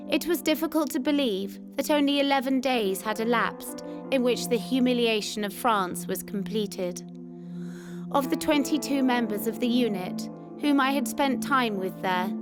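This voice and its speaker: A woman with a fairly high voice reads a scripted memoir as a narrator. Music plays clearly behind her as she tells the first-person wartime account.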